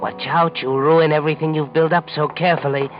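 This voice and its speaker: A man's voice: a little high, fairly even intonation, a little fast, natural voice. A man playing a sinister, mocking, all-knowing narrator of a 1940s radio mystery, insinuating as he speaks straight to the culprit, on a muffled old recording. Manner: insinuating, knowing, addresses the character directly. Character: sinister, mocking male omniscient narrator, needling a criminal. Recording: muffled vintage radio with music behind.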